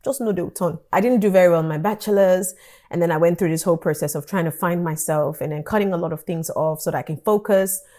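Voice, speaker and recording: A woman in her thirties with a Nigerian accent, telling a personal story in a casual vlog, animated and dropping into pidgin asides. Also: fast talker. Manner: animated, pidgin asides, acts out her past self with sudden high squeals.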